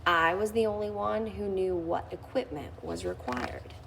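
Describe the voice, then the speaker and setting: A woman's voice: mid-range voice, expressive, moderate pace, fairly bright tone, a little hiss or hum. A woman reading a children's picture book aloud, lifting her voice expressively on key phrases. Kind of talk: read-aloud storytelling.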